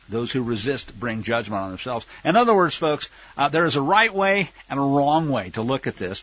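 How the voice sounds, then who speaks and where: An American man with a husky voice preaching a Bible lesson in an emphatic, sarcastic way, full of rhetorical questions. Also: medium pitch, sharp tone, dull audio. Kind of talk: sermon, Bible teaching with political commentary.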